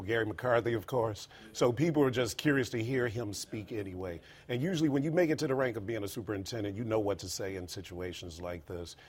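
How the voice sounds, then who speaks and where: A man's voice: fairly low pitch, darkish tone, breathy edge. A man who works as a radio journalist, chatting casually on a news panel. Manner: casual, conversational. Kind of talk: panel discussion.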